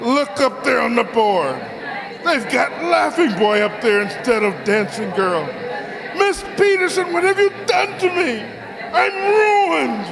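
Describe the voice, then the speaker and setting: A man playing an excitable, exasperated boss in an old-time radio comedy, blustering and exclaiming. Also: very high voice, a little slow, creaky, heavily acted, rough recording. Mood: distraught. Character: excitable, exasperated male boss who owns a racehorse. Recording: crowd noise behind.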